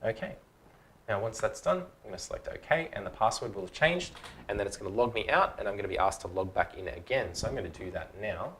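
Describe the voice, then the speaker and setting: A man's voice: on the low side, animated, fast, a bit bright, slight background noise. A man in his thirties presenting a casual, step-by-step tutorial in an informal, explanatory way. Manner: informal, explanatory, checks along with okay.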